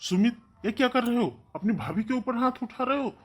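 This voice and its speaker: A man voicing an outraged elder brother, loud and scolding, in a melodramatic cartoon story. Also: very high-pitched, a little fast, slightly rough. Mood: furious.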